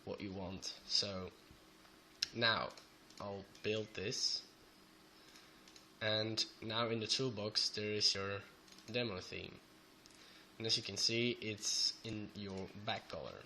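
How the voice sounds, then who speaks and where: A young man with a Belgian Dutch accent, sick and a little off in voice, walks through code at a slow, halting pace full of fillers. His voice is fairly low yet bright. Faint noise and keyboard typing sit behind him. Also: steady tone. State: sick, voice sounds off. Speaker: programming tutor. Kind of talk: screen-recorded coding tutorial. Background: keyboard typing and clicks.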